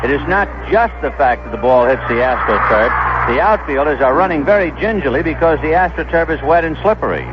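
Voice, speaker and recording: Lively male baseball announcer on lo-fi radio. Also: on the high side, fairly quick, few pauses, heavy background noise. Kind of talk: radio sports commentary. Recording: dull lo-fi 1970s AM radio broadcast.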